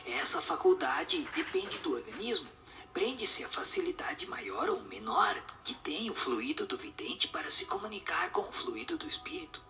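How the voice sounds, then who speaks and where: A man voicing a solemn spirit who answers questions in a breathy, whispery, didactic way. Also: very high-pitched, huge pitch swings, pushed and bright, faint music behind, exaggerated voice. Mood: solemn, instructive. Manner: didactic, measured explanations.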